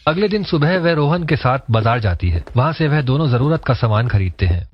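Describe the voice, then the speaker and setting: A man's voice: mid-range voice, no echo. A man reading scripted narration for a cartoon story, in a dull lo-fi recording. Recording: dull lo-fi, dry sound.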